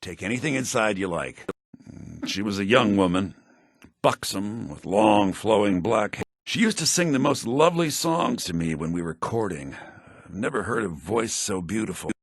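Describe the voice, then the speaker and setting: A man's voice: on the low side, animated, moderate pace, breathy edge, dry recording, studio quality. A man playing a bitter, vengeful former lover in a video game, brooding and menacing. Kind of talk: video game character acting.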